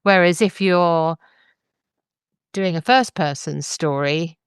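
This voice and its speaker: Smooth, low-voiced woman hosting. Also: slow pace, no room sound, studio quality. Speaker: podcast host. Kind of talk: thoughtful podcast book discussion.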